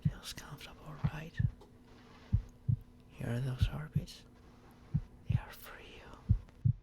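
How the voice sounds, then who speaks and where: Slow, tender boyfriend played by a man. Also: a little high, fairly even intonation. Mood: soothing, affectionate. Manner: soft, soothing, often dropping into breathy whispers. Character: tender, protective boyfriend soothing his overworked partner to sleep. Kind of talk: ASMR boyfriend roleplay.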